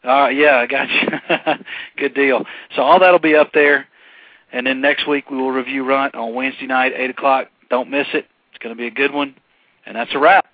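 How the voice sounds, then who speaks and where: A man's voice: medium pitch, fairly even intonation, normal speed, breathy edge, no room sound. A man hosting a radio show, chatty and upbeat in a casual interview. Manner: upbeat, chatty, laughs easily. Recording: dry, tinny phone-like sound.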